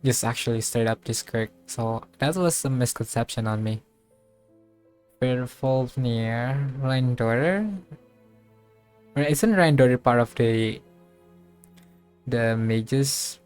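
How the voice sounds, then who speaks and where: A man giving casual playthrough commentary as a game streamer, musing aloud and thinking things through haltingly. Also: medium pitch, a little mellow, clean tone. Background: game music.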